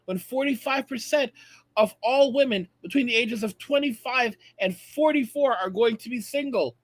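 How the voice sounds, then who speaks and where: An American man in his late thirties with a noticeably high-pitched voice. He talks like a video channel host, giving opinionated commentary straight to his viewers. His delivery is emphatic and repetitive for effect, in a dry, close recording. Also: moderate pace, no echo. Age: late 30s. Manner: emphatic, repeats phrases for effect.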